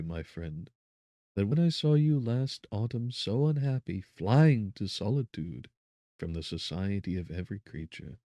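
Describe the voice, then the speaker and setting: An anxious, devoted young woman voiced by a man speaks in an earnest, pleading way, as in an audiobook of an old novel. The voice sits fairly low, and the sound is dry with little room. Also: mellow, dry recording. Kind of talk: dramatic audiobook reading.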